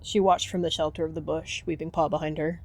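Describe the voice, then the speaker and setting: A woman's voice: sits low, little pitch movement, fast talker, darkish tone. A woman narrating a dramatic roleplay story as a storyteller.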